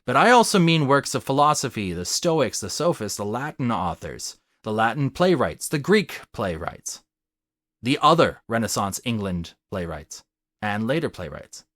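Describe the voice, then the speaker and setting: A man's voice: mid-range voice, big pitch swings, normal speed, fairly bright tone, no room sound, studio quality. A man narrating a scripted video essay to viewers in a formal, articulate and emphatic manner. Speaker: video essayist and theatre artist.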